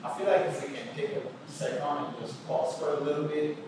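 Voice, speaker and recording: Guy explaining his point, kinda higher voice, far from the mic, noisy echoey room. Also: brisk. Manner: reasoned, explaining. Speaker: panel guest. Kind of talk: casual group discussion. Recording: echoey room, distant mic, background noise.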